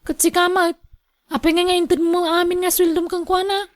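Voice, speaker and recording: A young Ilocano Filipino wife in a radio drama. Her voice sits high. She is resentful and sharp-tongued, forever complaining about her in-law. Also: flat delivery, moderate pace.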